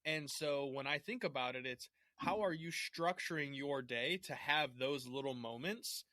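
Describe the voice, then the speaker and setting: A man's voice: medium pitch, unhurried, pushed and bright, no echo. Earnest male podcast host, dry sound. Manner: earnest, affirming, sincere. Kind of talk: conversational podcast interview.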